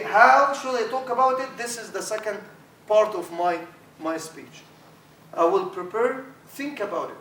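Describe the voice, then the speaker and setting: A middle-aged Arabic-accented man teaching a workshop as an imam, his voice high and animated, words often repeated. He is picked up from across an echoey lecture room. A faint hiss sits under the sound. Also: far from the mic. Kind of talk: workshop talk to trainees.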